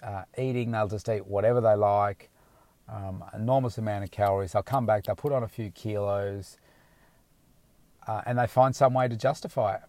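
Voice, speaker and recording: Male fitness coach, slightly low register, frank, loose and rambling, recorded dry from his car. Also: darkish tone, no room sound. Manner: rambling, frank, with many restarts. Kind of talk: casual motivational talk to camera. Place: inside a car.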